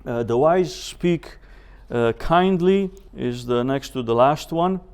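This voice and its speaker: Gravelly male Bible seminar teacher. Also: mid-range voice, animated. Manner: measured, with deliberate pauses and rhetorical questions. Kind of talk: seminar teaching with scripture quotations.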